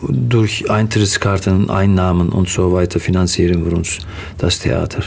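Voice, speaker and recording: A middle-aged man from Turkey with a Turkish accent, answering interview questions haltingly and pausing to search for words. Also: low voice, steady tone, vocal fry, a little mellow, slight background noise. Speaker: interview guest, theatre actor.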